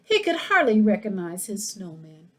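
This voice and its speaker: A woman reading a children's story aloud in an expressive, animated way, with asides to her young listeners. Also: medium pitch, moderate pace. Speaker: school principal reading a picture book aloud.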